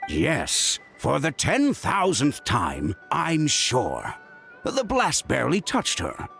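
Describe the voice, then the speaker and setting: A man's voice: a little high, slow, vocal fry, soft background music, heavily acted. An actor playing a caring, middle-aged father and healer in a video game, chiding and chatting in an exasperated way. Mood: exasperated, scolding. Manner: animated, chiding, conversational.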